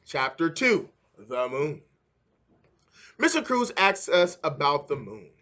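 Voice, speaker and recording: A man reading a children's book aloud in an expressive, deliberate storytelling way. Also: fairly high pitch. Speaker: read-aloud narrator.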